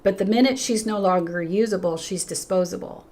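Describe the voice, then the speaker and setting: Hoarse older woman pastor talking live. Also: medium pitch, a little fast. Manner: earnest and emphatic, with frequent restarts and a lively rise when greeting viewers. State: hoarse, losing her voice. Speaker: pastor hosting a livestream. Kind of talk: unscripted livestream talk, reading chat comments.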